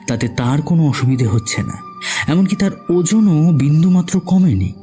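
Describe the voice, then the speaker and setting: A man's voice: mid-range voice, a little mellow. A man narrating a scripted suspense story as the narrator of an audio drama.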